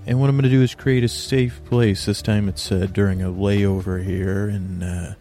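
A man hosting a podcast, talking in a meandering, halting way and drifting into a slow, lulling bedtime monologue. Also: fairly low pitch, steady tone, warm tone, faint music behind. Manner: meandering, halting, with restarts and filler words; slow drowsy lulling delivery. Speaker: podcast host.

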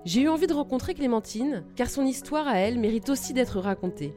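A woman's voice: on the high side, expressive, fairly quick, dark tone. A woman hosting a podcast, reading an introduction and putting questions to a guest. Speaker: podcast host, interviewer. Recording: music behind the voice.

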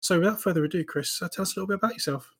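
A man hosting a hobby podcast in a relaxed, chatty way, welcoming a guest. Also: high voice, racing, slightly rough. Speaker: podcast host. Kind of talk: casual podcast chat.

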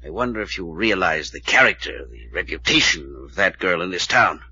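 An actor playing a stern, proper older family attorney, formal and reproving, in an old-time radio drama. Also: male voice, low-pitched, lively intonation, brisk, pushed and bright, slightly rough, exaggerated voice. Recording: muffled vintage radio recording.

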